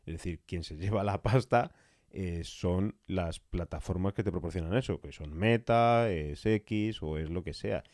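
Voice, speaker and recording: A man's voice: deep voice, unhurried, a little mellow, dry recording, clean studio sound. A man with an accent from Spain talking casually and emphatically in a podcast conversation, repeating phrases for stress. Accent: Spanish (Spain). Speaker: podcast interviewer.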